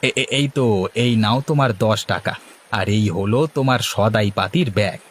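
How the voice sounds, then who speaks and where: A man voicing an obliging, calm village shopkeeper in a cartoon, accommodating and slightly halting. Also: fairly low pitch, slight background noise, pitched below the performer's own voice, close to an everyday voice. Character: obliging village grocer, male, calm and busy. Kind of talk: Bengali cartoon dialogue.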